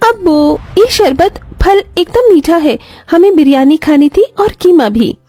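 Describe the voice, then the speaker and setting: A gentle young bride from a moral story, put on by a woman, with a high and mellow voice. She speaks in a sweet, pleading, pampered way. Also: expressive, unhurried, no room sound, soft background music. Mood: coaxing. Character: gentle young woman, pampered daughter turned poor daughter-in-law.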